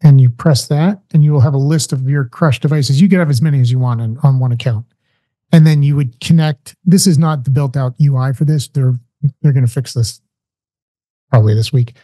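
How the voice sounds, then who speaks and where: Man, mellow tone, founder explaining his app, methodical, close studio mic. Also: mid-range voice. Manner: brisk, explanatory, walks through steps. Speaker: guest, tech company founder. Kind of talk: explaining a product in an interview. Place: small home room, indoors. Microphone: large studio mic on arm with headphones, video call.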